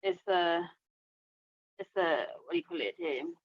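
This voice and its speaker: A woman's voice: lowish voice, big pitch swings, moderate pace, vocal fry, dry recording, muffled recording. A woman chatting casually as a podcast host, hesitant and often repeating short phrases. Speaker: host and reader of a storytelling podcast. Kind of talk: casual chat.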